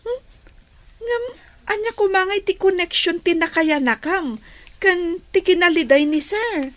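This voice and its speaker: A woman's voice: very high voice, measured pace, a bit bright, no room sound. A woman with a Filipino accent acting a curious, teasing young sweetheart in a radio drama.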